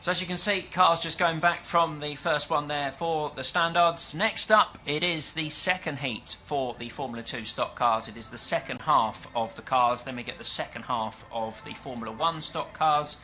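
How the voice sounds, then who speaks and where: Bright-voiced British race commentator. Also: male voice, a little high, normal speed, hardly any pauses, soft background music. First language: English. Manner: chatty and explanatory, with frequent fillers. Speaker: stock car race commentator. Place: at a dirt track event.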